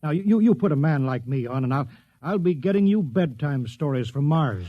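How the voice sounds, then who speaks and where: A man's voice: fairly high pitch, expressive, fairly quick, dry recording. An aging radio operator in a 1950s space drama. He is folksy and eager to please, repeating words as he pleads. The sound is dry and dull, like an old broadcast. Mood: pleading.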